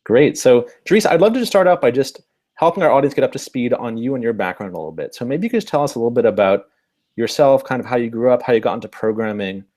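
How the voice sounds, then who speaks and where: Male host, interview, friendly formal introductions, mid-pitched voice. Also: expressive, fast, a little mellow. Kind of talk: hosted interview.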